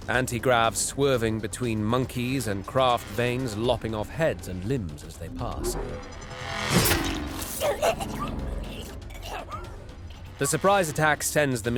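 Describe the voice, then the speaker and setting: A man's voice: medium pitch, natural voice. An actor playing a cruel, haughty alien raider prince who narrates his deeds with cold disdain in an audio drama. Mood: disdainful. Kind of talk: first-person dramatic narration.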